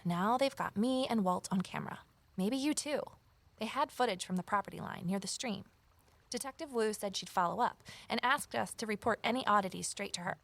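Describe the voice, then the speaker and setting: An actress playing a wary, determined amateur sleuth in a naturalistic audio drama, conversational and gently wry. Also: female voice, mid-range voice, brisk, vocal fry, no room sound. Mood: earnest, concerned. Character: Evelyn, wary determined former journalist turned amateur sleuth. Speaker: lead character and first-person narrator.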